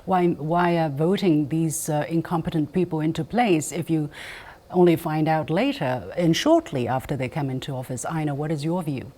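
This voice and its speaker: Deep-set woman's voice leading a current-affairs panel. She poses pointed questions and firmly redirects guests who stray from the point. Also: normal speed, warm tone. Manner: probing, pressing questions, cuts in to redirect. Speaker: news panel host. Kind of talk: moderating a panel discussion.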